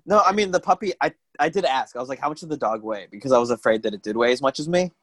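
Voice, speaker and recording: A young man chatting casually on a remote call, joking and self-deprecating with quick asides. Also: mid-range voice, animated, fairly bright tone, dry recording, clean studio sound. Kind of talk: casual chat.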